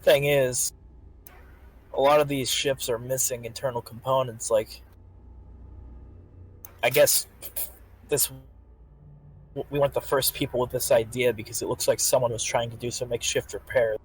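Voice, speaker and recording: A man's voice: medium pitch, fairly even intonation, moderate pace, long pauses, slightly rough, lightly acted, rough recording. A man playing a weary, guarded starship chief engineer surviving a disaster in a sci-fi game roleplay, plain-spoken and grumbling. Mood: weary, relieved. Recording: noticeable background noise and ambience.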